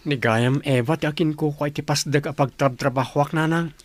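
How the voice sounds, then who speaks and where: A man's voice: a little high, brisk, no room sound, lightly acted. A Filipino man acting in a radio drama as a humble, devoted working man, speaking earnestly. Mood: troubled.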